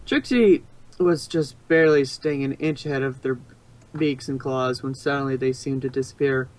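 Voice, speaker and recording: A man's voice: mid-range voice, normal speed, darkish tone. A man narrates a story aloud in an ordinary mid-range voice. His reading is informal and unpolished, with the odd stumble and reread. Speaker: narrator, fan fiction reader.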